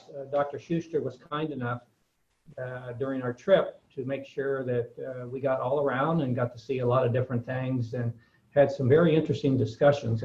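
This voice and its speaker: A man from the United States telling a class a relaxed anecdote, folksy and hesitant with frequent fillers. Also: medium pitch, dull audio. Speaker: guest speaker.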